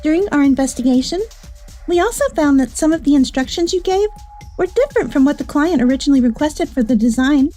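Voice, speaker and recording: A woman's voice: high-pitched, lively intonation, brisk, warm tone. A woman playing a composed, firm office investigator in a manga dub, formal and matter-of-fact as she accuses. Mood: formal, critical. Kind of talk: anime-style manga dub dialogue. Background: background music.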